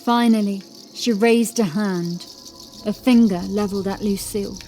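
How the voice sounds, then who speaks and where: A woman's voice: medium pitch, fairly even intonation, moderate pace, mellow, slight background noise. A woman reading scripted horror fiction as an audiobook narrator.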